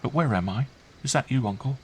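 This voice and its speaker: A man's voice: medium pitch, lively intonation, a little fast, a little hiss or hum, voice pushed up, lightly acted. A man voicing a bewildered, earnest young girl in an audiobook, trusting and fragile, speaking simply. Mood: bewildered, anxious. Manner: hushed, simple, earnest delivery. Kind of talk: audiobook character voice.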